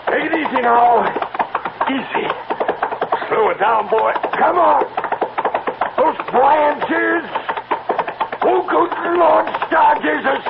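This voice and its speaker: A tinny old radio drama recording with heavy noise. a terrified horseman, crying out in a very high, gravelly voice, frantic and pleading. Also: exaggerated voice. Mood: alarmed. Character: desperate male horseman, thrown and terrified of his horse.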